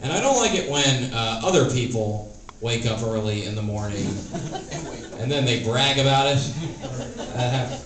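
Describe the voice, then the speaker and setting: A man's voice: mid-range voice, lively intonation, normal speed. A man of thirty doing a stand-up comedy set on a club stage, with a dry, hesitant delivery full of "uh" and "like". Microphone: stage microphone. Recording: roomy club sound from a distance, audience noise. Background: audience laughter.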